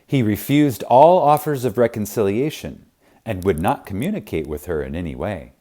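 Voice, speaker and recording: A man narrating a spiritual audiobook in a reverent, emphatic manner, with deliberate pauses. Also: lowish voice, lively intonation, mellow. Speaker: audiobook reader. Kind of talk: scripted narration of a spiritual self-help audiobook.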